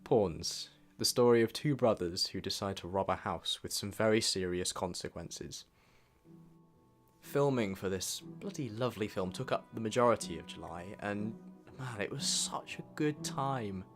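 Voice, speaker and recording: A man's voice: fairly low pitch, normal speed. A young British man narrating a scripted year-in-review vlog in a reflective, earnest way. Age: young adult. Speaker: video creator and student filmmaker. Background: background music with guitar.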